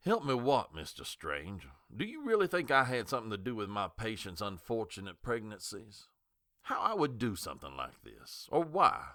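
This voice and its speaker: An actor playing an older, skeptical small-town doctor in an audio drama, sardonic and condescending, given to lecturing. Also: male voice, fairly low pitch, huge pitch swings, moderate pace, bright, forceful tone, no echo, clean studio sound, heavily acted. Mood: indignant, sardonic.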